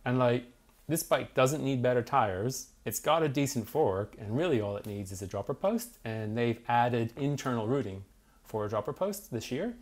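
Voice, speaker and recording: A man's voice: medium pitch, moderate pace. A British man in his thirties, a bike magazine reviewer, chatting eagerly in an office studio with lots of "like" and "basically". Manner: enthusiastic, animated, many "like" and "basically" fillers. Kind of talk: casual review chat. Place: small office studio indoors.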